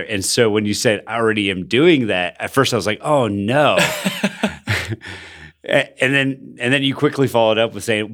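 Earnest low-voiced man in podcast conversation. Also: fairly bright tone. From Colombia, raised in Bogota by missionary parents. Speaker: pastor and podcast co-host.

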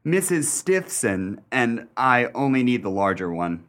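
An actor playing a timid, fussy gentleman in a radio play, stammering and hesitating with formal wording. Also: mid-range voice, big pitch swings, unhurried. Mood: stiff, hesitant.

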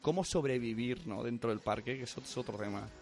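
A man's voice: mid-range voice, a little fast, a little mellow, faint music behind. A man hosting a casual podcast, chatty and handing out practical tips. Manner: chatty, gives tips, puts on voices in anecdotes. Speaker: podcast host.

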